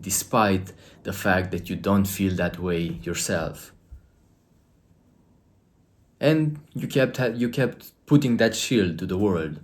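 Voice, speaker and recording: A man with a Romanian accent speaks in a very deep, low register. He coaches his viewers directly to camera, earnest and emphatic, in the lecturing tone of a motivational talk.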